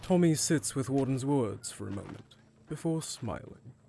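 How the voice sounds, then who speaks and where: A man's voice: medium pitch, animated, moderate pace, creaky, warm tone, a little hiss or hum. A man reading scripted narration for an audio drama.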